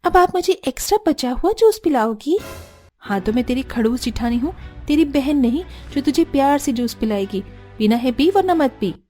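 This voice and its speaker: A bossy elder sister-in-law, brusque, high voice, faint music behind. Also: female voice, animated, moderate pace, dark tone. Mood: gruff. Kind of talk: cartoon voice acting.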